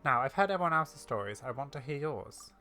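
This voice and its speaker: Woman, deep-set voice, casual gamer delivery, reading dialogue choices, light music behind. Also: animated, brisk, sharp tone.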